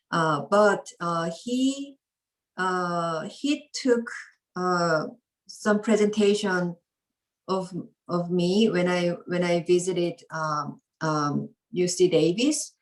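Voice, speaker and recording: Slow, halting woman. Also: lowish voice, rough recording. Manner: hesitant, many fillers and restarts. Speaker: piri performer, guest. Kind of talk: halting spoken explanation.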